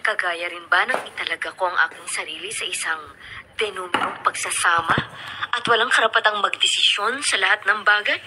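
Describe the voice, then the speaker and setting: A woman with a Filipino accent acting a doting but burdened mother in a radio drama, expressive in her delivery. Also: medium pitch, fairly quick, lightly acted. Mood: bitter, guilty. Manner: expressive, mixes English into Tagalog. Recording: very noisy radio drama recording, clicks.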